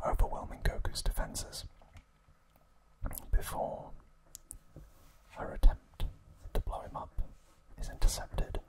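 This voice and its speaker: An ASMR host narrating in a hushed, breathy, unvoiced whisper, slow and unhurried. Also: rough recording. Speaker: ASMR host recapping an anime series.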